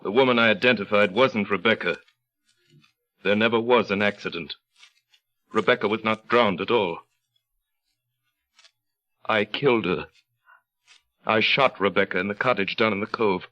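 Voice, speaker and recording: An actor playing a haunted, bitter, despairing husband in 1930s radio drama, with a brooding, halting delivery. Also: male voice, on the low side, sharp tone. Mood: confessing, grave. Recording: muffled old radio recording.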